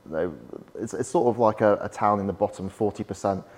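A young man with a softened Yorkshire accent and a lowish voice talks as a podcast guest. His speech is chatty and anecdotal, full of "like" fillers. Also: brisk. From West Yorkshire, England. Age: young adult. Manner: chatty, storytelling, frequent "like" fillers. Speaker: podcast guest, startup founder. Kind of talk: casual interview chat.

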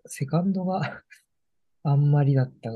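Young man, podcast co-host, dry close mic, relaxed and quick to laugh. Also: fairly high pitch, dry recording, dull audio. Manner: relaxed, laughs often, many backchannels. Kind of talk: casual chat about music.

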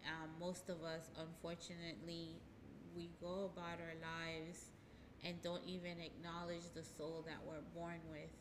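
A woman's voice: on the low side, completely flat delivery, measured pace. A woman from Haiti speaking earnestly about spiritual topics in a casual radio chat, laughing easily. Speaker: hypnotherapist guest. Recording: background noise.

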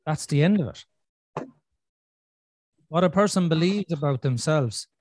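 A man, from Ireland, hosting a podcast and talking in a casual, earnest way, thinking out loud as he goes. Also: a little high, expressive, measured pace. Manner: earnest, thinking aloud with restarts and "you know". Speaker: podcast host. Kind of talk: casual conversational podcast chat.

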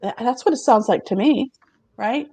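A middle-aged woman hosting a casual talk show, chatty and quick to laugh as she presents remote job openings and asks the others questions. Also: a little high, dark tone, slightly rough, no echo.

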